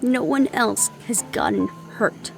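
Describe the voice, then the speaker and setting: A woman's voice: fairly high pitch, takes it slowly, vocal fry, music in the background, poor audio. A woman acting in an audio roleplay as a young adult daughter, defiant, loyal and argumentative, with an emotional, sarcastic edge. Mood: angry, defiant.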